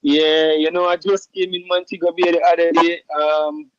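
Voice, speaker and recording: A Jamaican man answering interview questions in an easygoing way, with lots of fillers and restarts and patois shout-outs. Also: fairly high pitch, little pitch movement, moderate pace, clear, resonant tone, dry recording, dull audio. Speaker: musician guest.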